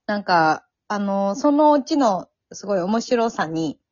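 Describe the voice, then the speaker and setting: Animated Kansai woman in casual chat. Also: medium pitch, unhurried, dry recording. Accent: Kansai Japanese. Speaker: amateur voice actor. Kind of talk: casual after-show chat. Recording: dry, dull lo-fi audio.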